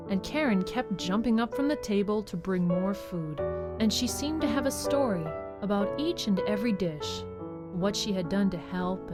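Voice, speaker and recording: A woman reading scripted storybook narration for a radio drama, as the narrator. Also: mid-range voice. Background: clear music.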